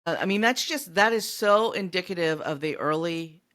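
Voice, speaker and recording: A woman's voice: on the low side, normal speed, fairly bright tone. A woman co-hosting a book podcast, discussing a story in a casual, conversational way. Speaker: podcast co-host.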